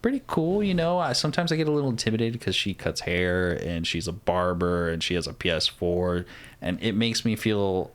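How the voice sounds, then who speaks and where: Low-voiced young man chatting casually. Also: a little fast. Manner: animated, curious, exclaiming, uses slang. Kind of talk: casual chat.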